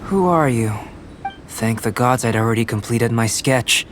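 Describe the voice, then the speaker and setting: A man voicing a calm, courteous, analytical young alchemist in a video game, speaking in a measured, formal way. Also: medium pitch, slight background noise, lightly acted. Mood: detached, intrigued. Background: background music.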